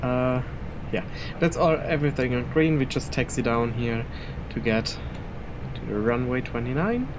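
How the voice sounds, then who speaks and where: A man's voice: mid-range voice, expressive, moderate pace, noisy background. Chatty young man with German roots. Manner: rambling and chatty, chuckling often between thoughts. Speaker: flight simulator streamer. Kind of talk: casual gameplay commentary. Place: home room at his PC.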